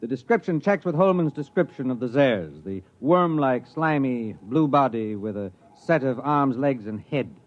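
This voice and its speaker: Starship commander, played by a male actor: mid-pitched man, measured and commanding, 1950s radio drama, muffled old recording. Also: lively intonation, natural voice. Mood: measured, grave. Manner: authoritative, deliberate military formality.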